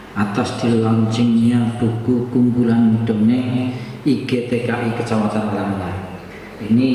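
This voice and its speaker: A man's voice: lowish voice, warm tone. Echoey man giving a formal public speech. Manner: repeats phrases for emphasis. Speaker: education official giving a speech. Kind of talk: public speech at a book launch. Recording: very echoey hall, distant mic, faint noise.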